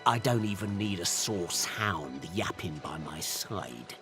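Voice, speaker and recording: An actor playing a menacing, sadistic inquisitor in a video game, speaking in an ornate, taunting, theatrical way. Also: male voice, deep voice, expressive, slow, fairly bright tone, soft background music, exaggerated voice. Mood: gloating menace. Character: sadistic, menacing male inquisitor hunting sorcerers.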